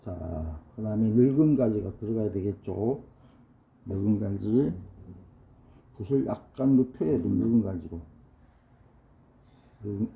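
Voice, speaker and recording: A hoarse, middle-aged man with a cold gives a measured ink-painting lesson while he paints. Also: fairly low pitch. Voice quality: gravelly. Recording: dull lo-fi sound with faint noise.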